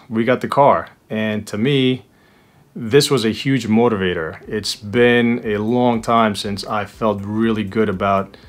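Earnest man vlogging to his viewers. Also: fairly low pitch. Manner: earnest, reflective, speaks directly to viewers. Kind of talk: casual vlog narration. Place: mix of indoors and outdoors on a hike.

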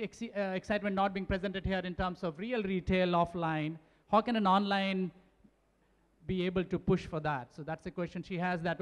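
Man at a podium reading audience questions, kinda high voice, lots of uhs. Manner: hesitant, many "uh" fillers while reading questions. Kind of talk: Q&A moderation. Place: large conference hall stage, at podium. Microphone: handheld mic. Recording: long hall reverb.

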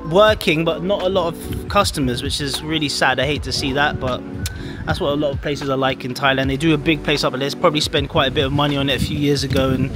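A man's voice: fairly high pitch, fairly even intonation, fast talker, music in the background. A man in his forties casually narrating a travel vlog outdoors on a handheld camera, rambling with self-deprecating asides. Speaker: vlogger. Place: outdoor cafe grounds.

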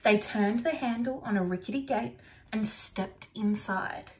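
A young adult woman reading a picture book aloud to children in an expressive storytelling style, recorded indoors on a device about arm's length away. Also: mid-range voice, measured pace. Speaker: storybook reader for primary children. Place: small furnished room indoors.

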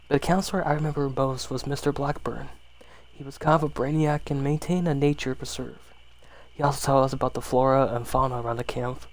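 A man reading a horror story aloud as an ASMR storyteller, sometimes stumbling and rereading phrases. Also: medium pitch.

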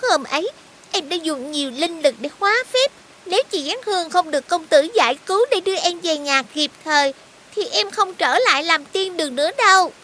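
A woman voicing an eager, earnest little fairy girl in an animated fairy tale. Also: very high voice, fairly quick, exaggerated voice.

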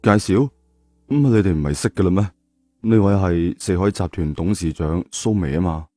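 A man's voice: low voice, moderate pace, warm tone, no room sound, studio quality. A man voicing a mild, awkward young man in an audio story, hesitant and evasive. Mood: puzzled.

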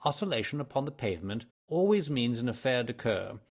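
A man reading the part of a cool, precise detective who explains his deductions in a measured way. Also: medium pitch, sing-song. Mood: lecturing. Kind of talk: audiobook character reading.

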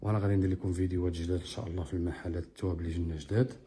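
A man with a Moroccan Arabic accent talks fast as he walks his phone through a large tiled living room. His voice sits low and mellow, with a faint hiss behind it. He pitches his work like a salesman and keeps asking viewers if they see. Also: steady tone. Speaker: upholstery shop owner showing his work. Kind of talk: walkthrough product showcase. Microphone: phone camera held while walking.

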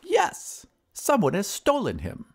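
A man's voice: high-pitched, wildly swooping pitch, takes it slowly, creaky, a little hiss or hum, voice pushed up, heavily acted. A man voicing an anxious, earnest wizard of modest means in a storybook reading, with a plaintive manner. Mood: worried.

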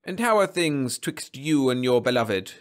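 Man playing an effusive, high-pitched bureau official. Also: big pitch swings, normal speed, a bit bright. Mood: genial, smug. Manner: effusive, emphatic. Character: excitable marriage bureau official, smug, easily outraged.

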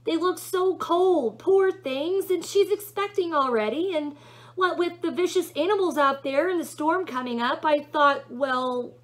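Casting: fussy, earnest male angel played by a woman, high and fretful, hesitant storybook character. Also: fairly bright tone. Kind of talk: storybook read-aloud character voice.

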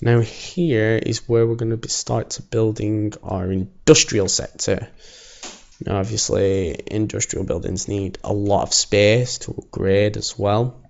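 A man with a croaky voice, casually commenting as he builds a city in a game, alone at home. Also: on the low side, steady tone, unhurried, darkish tone, muffled recording. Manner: chatty, self-assured, talks while building. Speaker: gaming channel host. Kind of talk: casual let's-play commentary.

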